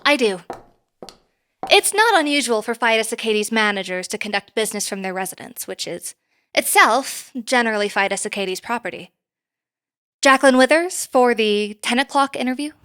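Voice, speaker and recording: A woman playing a courteous, businesslike manager in an audio drama, speaking in a formal, measured way. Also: on the high side, lively intonation, bright, forceful tone. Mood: poised, businesslike. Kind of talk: naturalistic audio drama acting.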